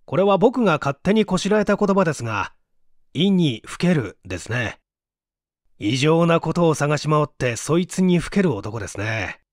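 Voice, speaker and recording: Guy acting out a polite eccentric young man, super eager, voice swinging up high, dry audiobook sound. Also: normal speed, dry recording. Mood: enthusiastic. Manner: polite, excitable, animated speech. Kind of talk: dramatized audiobook dialogue.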